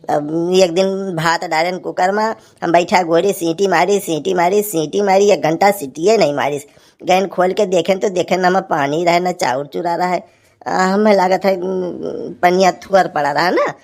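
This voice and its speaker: A woman's voice: on the low side, a little mellow. A woman voicing a harried village husband in a cartoon comedy, rambling and grumbling with an Awadhi accent.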